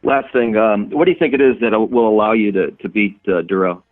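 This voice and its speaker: A man's voice: fairly low pitch, fairly quick, no echo. A man working as a sports reporter, putting questions to an interviewee over a telephone. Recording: narrow, tinny phone line.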